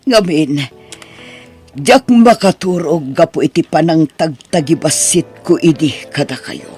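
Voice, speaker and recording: A woman with an Ilocano Filipino accent playing a remorseful middle-aged aunt in an audio drama, pleading in a halting, emphatic way. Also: low voice, darkish tone, soft background music. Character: remorseful older aunt who once belittled her niece.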